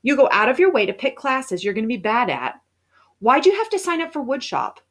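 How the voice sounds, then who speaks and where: A woman's voice: a little high, big pitch swings, brisk, a bit bright, heavily acted. A woman reading a storybook, playing a blunt, exasperated schoolboy who is sarcastic with a friend. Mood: sour, accusing.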